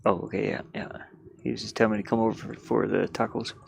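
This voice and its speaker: A man's voice: on the low side, level tone, fast talker, creaky. A man chatting casually on a livestream as he works, rambling and thinking aloud inside a van with a heater running. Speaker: game developer livestreamer. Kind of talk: casual livestream chat while working. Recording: background noise from diesel heater fan. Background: heater hum and clicking.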